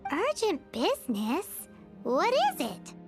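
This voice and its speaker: A woman's voice: sits high, wildly swooping pitch, slow pace, gravelly, full character voice. A woman voicing a small, girlish, cheeky and chatty fairy companion in an anime game. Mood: curious. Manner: refers to itself in third person, exclaiming. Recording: game music behind.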